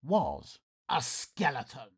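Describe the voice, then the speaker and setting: A man playing a melodramatic Victorian nobleman, narrating in radio theatre style with formal diction and suspenseful dramatic pauses. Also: mid-range voice, huge pitch swings, heavy background noise, heavily acted. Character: melodramatic Victorian nobleman recounting his terror. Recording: music playing under the voice.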